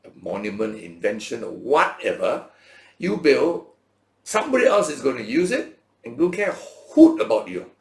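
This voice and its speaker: A man with a mid-pitched, gravelly voice teaches scripture informally. He talks in plain everyday language and often poses questions to his listeners. The recording is roomy, with clear echo around his voice. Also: big pitch swings, normal speed. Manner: rhetorical questions and check-in tags; dramatizes imagined speakers' words in everyday language. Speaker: Bible school teacher. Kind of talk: informal Bible lesson, conversational preaching. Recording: roomy, echoing teaching space.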